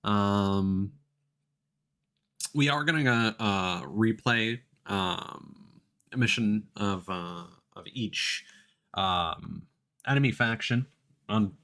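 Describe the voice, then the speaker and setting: A man giving casual let's play commentary as a game streamer, talking in halting phrases with frequent pauses. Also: fairly low pitch, fairly bright tone.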